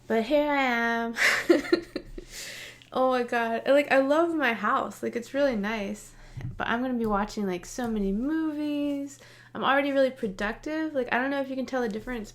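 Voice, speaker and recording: Woman, late thirties, casual vlog chat, laughs often, lots of fillers, mid pitch. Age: 30s. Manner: chatty, laughs often, many "like"s and "um"s. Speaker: vlogger. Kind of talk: casual personal vlog monologue.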